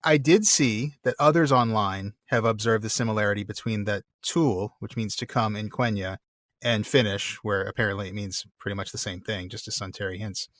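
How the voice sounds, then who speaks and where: A man's voice: on the low side, fairly even intonation, normal speed, a bit bright, no room sound, studio quality. A man co-hosting a podcast, reading listener mail and explaining word origins with enthusiasm, laughing often. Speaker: podcast co-host.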